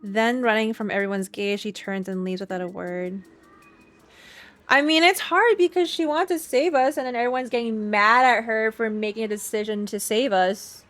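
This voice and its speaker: A woman's voice: a little high, steady tone, normal speed, a bit bright. Casual woman game streamer. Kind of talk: casual live reaction and reading game text aloud. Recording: faint game music behind.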